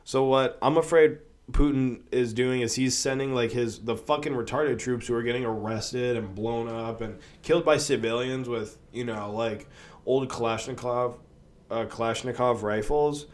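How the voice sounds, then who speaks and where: A man hosts his own podcast while sick with a cold, sniffling and coughing now and then. He rambles and swears, with lots of fillers. His voice sits at an ordinary middle pitch. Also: normal speed. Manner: rambling, profane, with many fillers. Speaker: podcast host. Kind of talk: casual solo podcast commentary.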